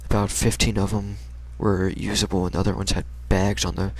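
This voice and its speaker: A young American man with a low-pitched voice full of vocal fry. He tells an everyday story casually, in slangy, halting fragments that ramble along. There is a faint layer of noise behind him. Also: little pitch movement. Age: young adult. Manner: rambling, slangy, hesitant delivery.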